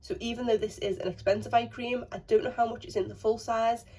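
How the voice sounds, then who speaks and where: A woman beauty vlogger, croaky from a cold, chatting casually to a handheld phone. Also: fairly high pitch, steady tone, fast talker, warm tone. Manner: apologetic, chatty, rambling. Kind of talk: casual chat to camera. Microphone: phone held in hand.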